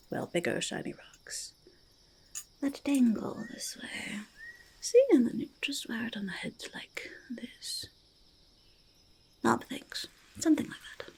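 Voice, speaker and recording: A woman voicing a quirky, stubborn goblin who loves shiny treasures, in a halting, breathy, creaky ASMR whisper, speaking in third person. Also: high-pitched, a little mellow, exaggerated voice. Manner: halting, childlike third-person speech, easily argumentative. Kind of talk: soft-spoken ASMR roleplay, mostly whispered.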